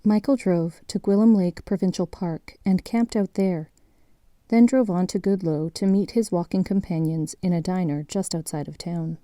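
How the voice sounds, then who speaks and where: A woman acting as a composed female narrator for a grim mystery documentary in an audio drama. Her voice is lowish and very soft-edged and dark, delivered in measured, matter-of-fact phrases. The sound is dry and close. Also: fairly even intonation, no echo, natural voice. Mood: measured, grave. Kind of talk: scripted documentary-style narration.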